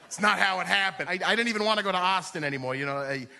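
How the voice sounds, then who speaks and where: An American man performs comedic personal storytelling in a conversational, filler-peppered way. His voice is very bright and sharp and sits a bit high for a man. It rings through a live hall with long reverb, like a stage microphone in a theater. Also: very fast, creaky, a little hiss or hum. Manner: conversational, peppered with "like" and "you know". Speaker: stand-up comedian.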